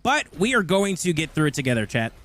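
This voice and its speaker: A man's voice: fairly high pitch, big pitch swings, quick pace, fairly bright tone. A man hosting an esports stream, chatty and talking straight to the chat. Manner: chatty, addresses chat directly. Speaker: stream host. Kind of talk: casual stream commentary.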